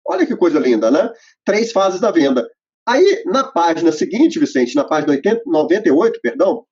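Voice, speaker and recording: Man, husky high voice, explains step by step, muffled lo-fi sound. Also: big pitch swings, a little fast, a little mellow. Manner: explanatory, enumerating steps, frequent fillers. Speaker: host presenting to accountants. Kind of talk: instructional conversation. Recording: muffled lo-fi audio.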